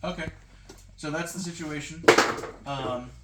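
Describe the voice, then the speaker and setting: A man's voice: a little high, unhurried, a bit bright. A man casually retelling a roleplaying game session to his group, hesitating and slipping into bits of acted-out dialogue. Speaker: player recapping a tabletop roleplaying game. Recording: echoey room, background noise, clicks.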